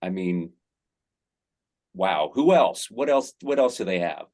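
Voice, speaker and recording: A man's voice: low voice, big pitch swings, slow pace, darkish tone. A middle-aged man, an actor, answering interview questions in a rambling, enthusiastic way with many fillers.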